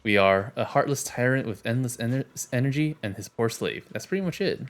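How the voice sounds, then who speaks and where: A man's voice: medium pitch, a little fast, soft background music, lightly acted. A man reading the part of a young woman bullied by her own dog, in a dry, self-mocking way. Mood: weary.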